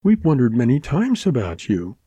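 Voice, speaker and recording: A man's voice: medium pitch, huge pitch swings, normal speed, warm tone, husky, no echo, muffled recording. A man voicing an earnest, tearful young ranch woman in a read western story. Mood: eager. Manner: pleading, heartfelt.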